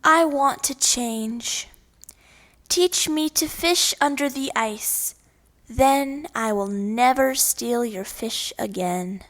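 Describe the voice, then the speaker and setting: A woman plays a gullible storybook bear, hungry and earnest. The voice is high and very slow, in simple little sentences. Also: fairly bright tone. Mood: apologetic, pleading. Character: gullible, hungry male bear in a children's fable.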